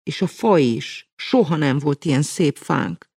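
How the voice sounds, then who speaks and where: A man doing a flustered falsetto mother. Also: lively intonation, creaky, darkish tone, slightly rough, no echo, clean studio sound, heavily acted. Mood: rambling apologetic. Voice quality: high falsetto. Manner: rambling, apologetic. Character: flustered tired middle-aged mother, chatty.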